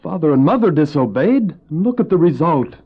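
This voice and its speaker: An actor playing Abel, a pious, earnest younger brother and shepherd who speaks formally and preachily in a religious audio drama. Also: male voice, fairly high pitch, very animated, fairly quick, breathy edge, full character voice. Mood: earnest admonishing. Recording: dull old cassette audio drama.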